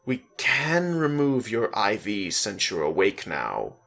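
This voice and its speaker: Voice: medium pitch, sing-song, slow pace, gravelly, a bit bright, full character voice. A reader voicing a female hospital nurse, patient and hesitant, explaining carefully in a dramatic story reading. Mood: businesslike.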